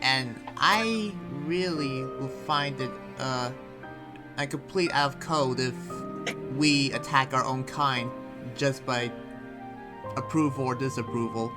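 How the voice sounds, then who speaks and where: A man playing an earnest, troubled demon slayer and researcher in an improvised anime game roleplay, speaking haltingly and often trailing off. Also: mid-range voice, over music, lightly acted. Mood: uneasy, explaining.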